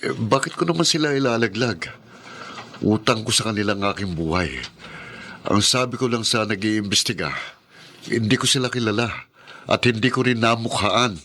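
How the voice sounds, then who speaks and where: Bright, low-voiced wounded Filipino drama hero. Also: male voice, normal speed, pauses often. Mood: matter-of-fact, defensive. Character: wounded man, pleading and grateful, soon to marry. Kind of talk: Filipino radio drama acting.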